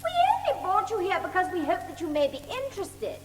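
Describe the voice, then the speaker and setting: A woman's voice: very high-pitched, huge pitch swings, a little fast, soft background music, full character voice. A woman playing a menacing, falsely genteel female villain in an audiobook drama, condescending and mock-polite. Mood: silky, persuasive.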